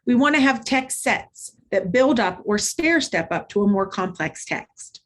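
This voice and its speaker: A woman instructor giving an instructional presentation, explaining in a clear, structured way with short measured phrases. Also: medium pitch. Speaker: literacy instructor. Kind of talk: instructional presentation with modeled read-aloud.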